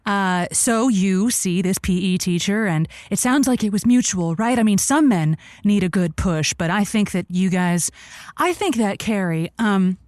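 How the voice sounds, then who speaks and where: A woman's voice: mid-range voice, expressive, a little slow, a little mellow, no room sound, studio quality, close to an everyday voice. A woman playing a flustered, rambling radio advice host who stammers earnestly and gives grand, sentimental counsel in an improvised audio drama.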